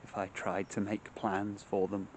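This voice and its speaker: A man guides a meditation in a fairly low voice. He goes very slowly, setting out short, measured phrases with long pauses between them. Faint outdoor nature sounds sit behind him. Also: monotone, a little mellow, a little hiss or hum. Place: outdoors among trees.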